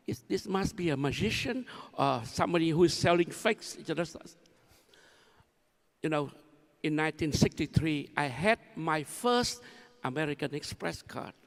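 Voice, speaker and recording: A man of seventy with a Vietnamese accent gives an informal conference speech full of personal stories. His voice is fairly high and gravelly, and his phrasing is halting and repetitive. It rings in a large echoey hall. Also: expressive. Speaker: conference speaker, new board member.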